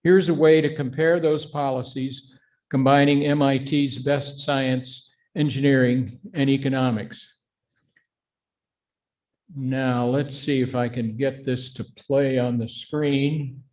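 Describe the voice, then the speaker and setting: A middle-aged or older man giving an informal, explanatory talk to a congregation in an earnest, measured way. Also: mid-range voice, steady tone, a little mellow. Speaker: guest speaker at a congregation service.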